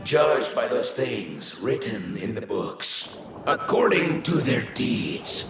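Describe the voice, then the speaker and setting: An actor playing a solemn, earnest captive scholar in a video game drama, with measured, theatrical delivery. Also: male voice, mid-range voice, big pitch swings, music in the background. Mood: solemn, reverent. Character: solemn captive scholar man reading scripture with earnest hope.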